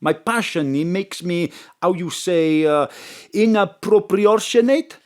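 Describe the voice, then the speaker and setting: A woman's voice: fairly low pitch, a little slow, natural voice. A woman voicing a lovesick middle-aged chip shop owner in broken English, theatrical and effusive. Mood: embarrassed. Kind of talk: comic radio drama.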